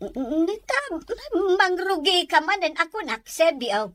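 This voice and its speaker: Woman playing a slow, high-voiced older vendor. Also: very animated, fairly bright tone, no room sound, exaggerated voice. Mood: brisk, wary. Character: older village vegetable seller, exasperated but polite. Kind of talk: Ilocano audio drama acting.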